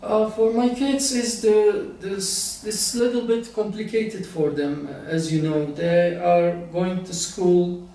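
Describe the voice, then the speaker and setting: High-voiced older man, slow and halting. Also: steady tone, dark tone. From Turkey. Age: middle-aged. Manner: halting, searching for words in English. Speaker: interviewee. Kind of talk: casual interview answers. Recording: very echoey room, distant mic.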